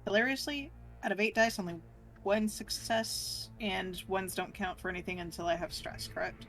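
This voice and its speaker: A woman's voice: medium pitch, fairly even intonation, normal speed, fairly bright tone, rough recording. A woman playing a tabletop game, chatting casually in a wry, salty way. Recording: music clearly under the voice. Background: clear background music.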